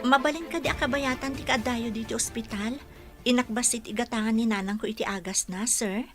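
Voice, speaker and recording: A woman's voice: on the high side, a little fast, over music, natural voice. A woman playing a polite, devoted young caregiver in a radio drama, deferential in manner. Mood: grateful.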